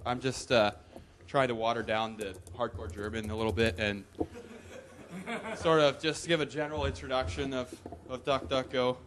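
A man's voice: mid-range voice, background noise. A young man giving a loose, joking talk in a conference hall, with whoops and laughs, into a podium microphone. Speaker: conference presenter. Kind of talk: casual live tech talk.

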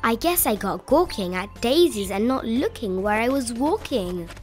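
High-pitched, animated female storybook reader. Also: normal speed, over music. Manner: expressive, playful delivery. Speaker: children's picture book reader. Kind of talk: animated read-aloud storytelling of rhyming books.

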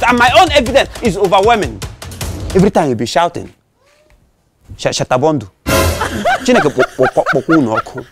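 Man as a spiteful caretaker; stammering taunts, lively pitch, light music bed. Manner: mocking, stammering, with Igbo exclamations. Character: taunting male compound caretaker, boastful and spiteful. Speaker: compound caretaker. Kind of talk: comedy skit acting.

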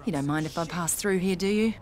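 A man's voice: high-pitched, fast, darkish tone, slight background noise, natural voice. A man playing a wary game survivor. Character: wary male survivor hero of an action game.

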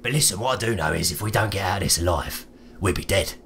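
A British man with a fairly low, bright and cutting voice plays a tabletop game. He banters casually in character, with faint noise on the recording. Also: fast. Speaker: player in a tabletop role-playing game.

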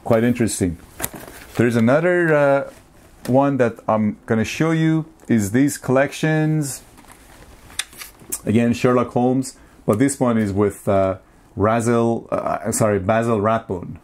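A man in his forties chatting casually to camera as a vlogger, with an enthusiastic, appreciative and somewhat halting delivery. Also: medium pitch, darkish tone. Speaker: vlogger showing a music and tape collection. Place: small home room, indoors.

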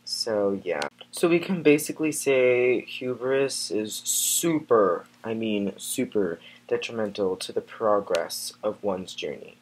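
A man's voice: lowish voice, lively intonation, takes it slowly, few pauses, husky, exaggerated voice, rough recording. Man doing a vain boastful hero, comedic vlog parody, casual smug talk, lots of fillers. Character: vain boastful male Greek hero turned vlogger.

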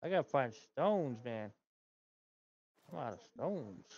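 A man's voice: mid-range voice, very animated, slow pace, a little mellow, husky, lo-fi sound. A middle-aged man streaming a game from home, grumbling and talking to himself as he plays. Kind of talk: casual gameplay chat. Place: home gaming room, indoors.

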